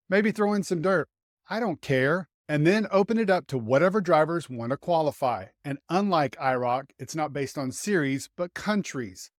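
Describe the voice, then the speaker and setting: A man with a fairly high voice delivers scripted motorsports commentary. He is opinionated and a little wry as host, and the recording is very dry with no room sound. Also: no echo.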